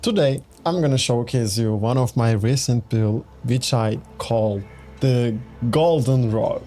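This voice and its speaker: Unhurried gaming host, low-key. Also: male voice. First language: Turkish. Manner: relaxed, rambling, restarts sentences, repeats "let's say" and "you know what I mean". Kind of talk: casual game build walkthrough.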